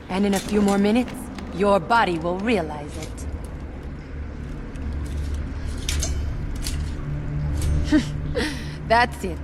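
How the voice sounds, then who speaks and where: A woman's voice: medium pitch, background noise, close to an everyday voice. An actress playing a cold, gloating female poisoner assassin in a video game, with menace in her delivery. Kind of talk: video game cutscene villain acting. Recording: loud music behind the voice.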